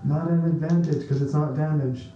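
A man's voice: on the high side, steady tone, normal speed, warm tone, a little hiss or hum. Very echoey man at a gaming table. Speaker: tabletop game player. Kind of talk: casual table talk about game rules. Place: garage. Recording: very echoey, distant, dull sound.

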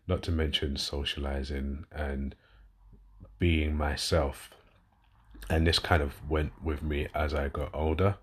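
A man in his thirties with a London accent talks through his own story in a loose, rambling way. He often stops to correct himself and leans on fillers. His voice sits extremely low, with a husky edge and little rise and fall. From London, England. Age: 30s. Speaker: podcast host and fitness coach. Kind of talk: casual personal monologue.

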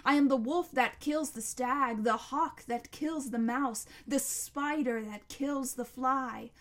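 A woman's voice: mid-range voice, darkish tone. A woman voicing an ancient, towering tree monster in a storybook, proud and menacing, speaking in a grand, declamatory way. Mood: roaring, proud. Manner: grand, slow, declamatory, building to a roar.

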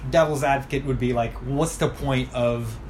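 A man's voice: mid-range voice, steady tone, normal speed, darkish tone, a little hiss or hum. A man in a casual conversation, thinking aloud with frequent restarts and tag questions like "right?". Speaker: conversation partner. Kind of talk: casual chat.

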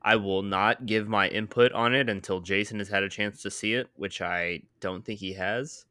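A man's voice: fairly low pitch, fairly even intonation, moderate pace, bright, forceful tone, no echo. A man, co-hosting a casual sports podcast with wry, dry jokes and frequent laughs. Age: 20s. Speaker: podcast co-host.

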